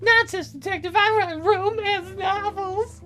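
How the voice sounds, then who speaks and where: A woman's voice: very high voice, measured pace, sharp tone, soft background music, voice pushed up, heavily acted. A woman voicing a grieving, haughty widowed novelist in a visual novel, with a dramatic, proper manner. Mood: proud, indignant.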